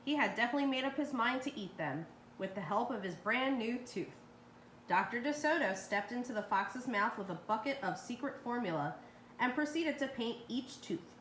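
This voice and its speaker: A woman's voice: a little high, expressive, normal speed, fairly bright tone. A woman reading a children's story aloud expressively as a storyteller. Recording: roomy, echoey with faint noise.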